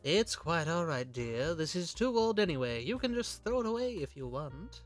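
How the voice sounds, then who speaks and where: A man voicing a courteous, genteel gentleman hunter with a formal, old-fashioned charm in a comic dub. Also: a little high, very animated, normal speed, soft background music. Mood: warm, affectionate. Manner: polite, formal, endearments.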